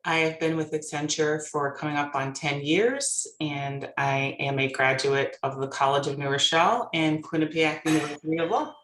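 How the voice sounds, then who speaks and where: A woman's voice: low voice, steady tone, moderate pace, hardly any pauses, fairly bright tone, reverberant, rough recording. A woman in her thirties from Connecticut introducing herself as a panelist in a composed, matter-of-fact way.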